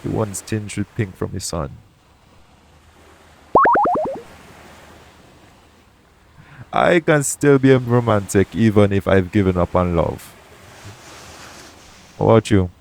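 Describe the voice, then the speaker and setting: A shady pirate captain, played by a man, speaks low and close to the listener in an audio roleplay. He is jaded but tender underneath, with frank, folksy phrasing and a teasing edge. A noticeable bed of ambient noise sits behind his voice. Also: normal speed. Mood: playful, tender. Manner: colloquial dialect grammar, gently teasing, frank. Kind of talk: intimate audio roleplay acting addressed to the listener. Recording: clear background noise, ambient sound bed.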